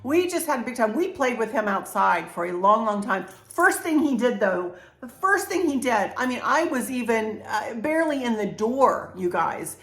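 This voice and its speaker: A woman's voice: fairly high pitch, big pitch swings, normal speed, few pauses. Congested older woman, roomy sound. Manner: bubbly, talks straight to viewers, warm fillers. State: congested, clearing throat. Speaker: home decor vlogger. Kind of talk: casual chatty vlog. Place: home interior room. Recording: echoey room.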